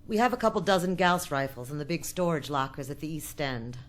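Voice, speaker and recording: Shrewd woman in charge, low voice, lively delivery, commanding, guarded, radio drama. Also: brisk, a little hiss or hum. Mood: tense, secretive. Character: shrewd, bossy woman running a frontier outpost.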